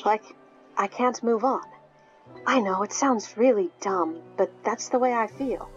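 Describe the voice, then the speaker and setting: A woman's voice: mid-range voice, unhurried, lo-fi sound, faint music behind, lightly acted. An actress playing an earnest, self-doubting teenage magical girl in a visual novel game, with a hesitant manner. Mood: wistful.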